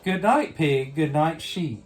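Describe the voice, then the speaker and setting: A woman reading a picture book aloud to a young child, pausing to ask simple questions. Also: low-pitched, lively intonation, soft background music. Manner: simple questions and prompts addressed to a small child. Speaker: bedtime story reader.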